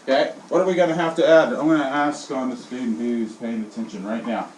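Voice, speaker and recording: A male elementary school teacher leading his class in call-and-response, swinging his voice high and low as he drills them. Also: steady tone, a little fast, a little mellow. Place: classroom, indoors. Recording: echoey classroom from far off, with noise.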